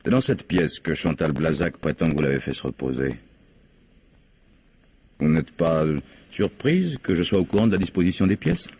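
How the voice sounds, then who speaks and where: An actor playing an insistent, skeptical police investigator in a radio mystery, probing methodically with formal politeness. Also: male voice, deep voice, animated, normal speed, a little mellow, slightly rough, exaggerated voice. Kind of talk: French radio mystery drama acting.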